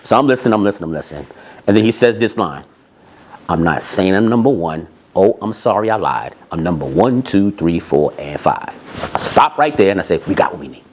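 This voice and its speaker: A man telling anecdotes in an animated, emphatic way, repeating phrases and acting out bits of dialogue. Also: deep voice, a little fast, slight background noise. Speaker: storyteller, music industry executive.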